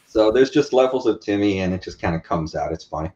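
A man's voice: low voice, animated, fast talker, dark tone. Young man, slightly dull call audio. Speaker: podcast guest. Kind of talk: casual explanatory chat. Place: living room couch, indoors.

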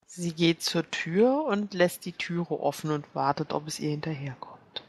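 Low-voiced young woman playing a tabletop game. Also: measured pace, a little hiss or hum. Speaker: tabletop role-player. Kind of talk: casual play, describing her character's actions.

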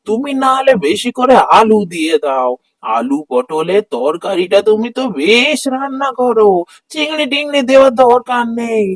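Man playing a high-voiced, stingy old villager. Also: lively intonation, normal speed, dry recording. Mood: reluctant. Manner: grumbling, haggling, exclamatory. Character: stingy, crafty old village father-in-law. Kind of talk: Bengali cartoon fairy-tale dialogue.

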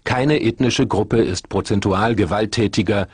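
Low-voiced male documentary narrator. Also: unhurried, breathy edge, dry recording, clean studio sound. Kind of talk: scripted narration.

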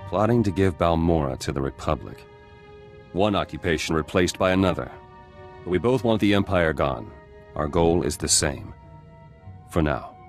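An actor playing a hard, bitter resistance fighter in a video game, speaking in a terse, grim manner. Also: male voice, sits low, animated, normal speed, long pauses. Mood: wary. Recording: music playing behind the voice.

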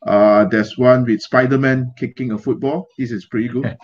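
A middle-aged man with a Malaysian accent, casually hosting a livestream interview in a halting way. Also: medium pitch, fairly even intonation, a little hiss or hum. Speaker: host. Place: indoor room, on a video call. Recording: dull, lo-fi video-call audio.

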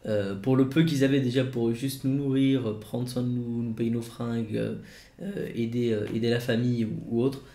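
Jeune homme bavard, salle qui résonne. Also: male voice, medium pitch, flat delivery, moderate pace, dark tone, echoey room. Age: 20s. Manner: rambling, many fillers and restarts. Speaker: YouTuber. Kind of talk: casual vlog to camera. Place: home studio room.

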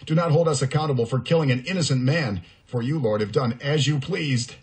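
A man's voice: medium pitch, a little fast, pitched above the performer's own voice, lightly acted. Man acting an urgent, alarmed sailor. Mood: pleading. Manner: urgent, questioning, pleading. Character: alarmed, demanding sailors and ship's captain.

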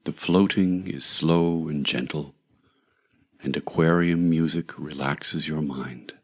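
A man narrating a scripted guided meditation in a measured, soothing, deliberate way, with long pauses. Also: a bass voice.

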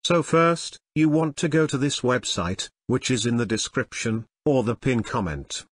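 A man's voice: medium pitch, animated, normal speed, slightly rough, dry recording. Synthetic man narrating a tutorial, dry. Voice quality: synthetic text-to-speech. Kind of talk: scripted step-by-step tutorial narration.

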